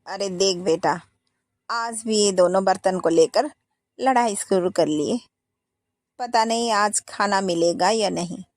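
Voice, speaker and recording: A woman's voice: a little slow, a little mellow, dry recording, studio quality, natural voice. A woman voicing a hungry, weary old mother-in-law who grumbles. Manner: grumbling, low.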